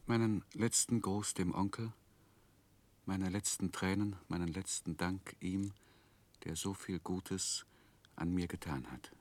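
An actor playing a sensitive gentleman who narrates painful memories in a 1960s radio play, in a measured, dramatic, emotionally weighted way. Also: deep voice. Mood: grieving.

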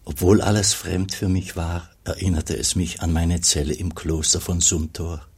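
Elderly monk narrator: extremely low, very husky, reflective radio drama. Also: male voice, flat delivery, heavily acted. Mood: reflective, tender. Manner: measured, reflective. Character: old monk recalling his lost brother, grave, tender. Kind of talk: literary radio play narration.

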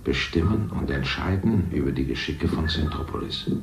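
A proud, deep-voiced city ruler. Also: male voice, lively intonation, moderate pace, roomy sound, over music, exaggerated voice. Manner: smooth, lecturing, condescending. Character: ruler of a future city, older man, cold and proud. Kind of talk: 1970s radio play acting.

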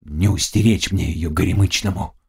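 A man as a gravelly, tormented Cossack chieftain. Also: mid-range voice, animated, moderate pace, voice pushed up. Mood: brooding, anguished. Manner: brooding mutters bursting into anguished cries. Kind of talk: audiobook character dialogue.

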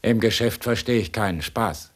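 An actor playing a cool, sardonic escaped convict in a German radio crime drama, mocking and coaxing with cunning politeness. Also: male voice, on the low side, normal speed, lightly acted. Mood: coolly persuasive.

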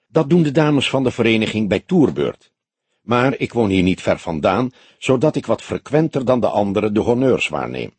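Audiobook role: composed, frank older lady played by a male reader, soft-spoken, thoughtful, animated pitch, close dry recording. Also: slightly rough, dry recording. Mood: composed, courteous. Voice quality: soft, friendly voice. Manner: measured, genteel, thoughtful. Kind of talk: audiobook character dialogue.

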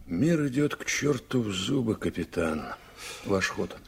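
A dry, fatalistic first mate in a 1980s radio play. His voice sits on the low side and his lines are short and clipped, over an audible hiss from the old tape. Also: animated, a little slow, gravelly. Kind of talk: 1980s radio drama acting.

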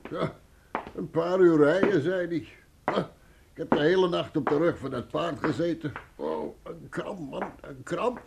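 A man's voice: on the high side, a little slow, vocal fry. A man playing a weary traveller in a Dutch radio play. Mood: genial, weary. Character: weary travelling man come to wake a gentleman. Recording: noisy old radio play recording, clicks.

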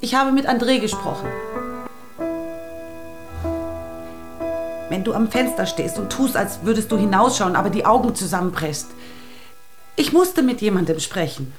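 A woman's voice: medium pitch, animated, a little fast, music in the background, lightly acted. An actress playing an anxious, insistent middle-aged mother and wife in a naturalistic radio drama. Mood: pleading. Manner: worried, insistent, probing.

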